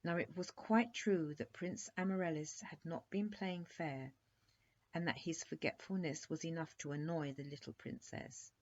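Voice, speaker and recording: A woman reading a children's bedtime story aloud as an expressive narrator. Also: deep voice, normal speed. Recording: dull lo-fi recording.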